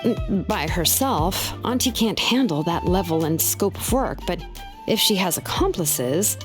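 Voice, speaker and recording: A woman's voice: on the low side, expressive, unhurried, over music. A woman playing a laid-back, confident young craftswoman in a video game, speaking in a casual, matter-of-fact way. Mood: serious, casual. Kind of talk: video game character acting.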